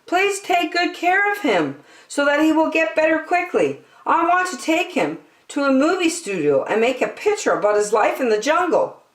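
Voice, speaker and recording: A woman storyteller voicing an excited, caring man with an eager, emphatic delivery in an echoey room. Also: high voice, normal speed, hardly any pauses, pitched above the performer's own voice. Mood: earnest pleading.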